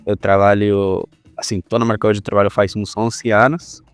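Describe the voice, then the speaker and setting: A man in his thirties from Argentina, a podcast guest speaking Portuguese with an Argentine Spanish accent, telling his story in a relaxed, rambling way. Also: lowish voice, normal speed, clear, resonant tone, faint music behind. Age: 30s. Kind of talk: casual interview talk.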